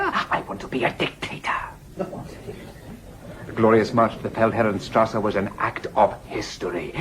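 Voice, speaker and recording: An actor playing a fervent, volatile male nationalist leader in a radio drama, declaiming and swinging between hushed and shouted delivery. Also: lowish voice, noisy background. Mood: scornful, defiant. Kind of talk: dramatic radio play acting.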